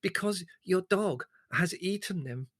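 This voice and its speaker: A man's voice: high-pitched, lively intonation, unhurried, fairly bright tone, dry recording, clean studio sound, voice pushed up. A man putting on a cringing little peasant. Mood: pleading. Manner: cringing, pleading, nervous.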